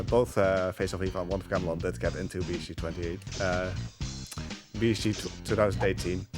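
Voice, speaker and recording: Low-voiced man rambling over game music. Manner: halting, rambling, amused. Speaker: speedrunner. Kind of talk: casual live commentary.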